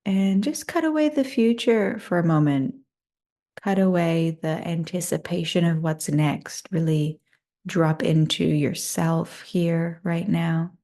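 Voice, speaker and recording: A woman leading a guided meditation in a soothing, unhurried and gently inviting manner. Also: lowish voice.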